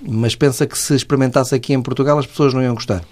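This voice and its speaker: A man hosting a radio interview, asking brisk conversational questions. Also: mid-range voice, steady tone, darkish tone.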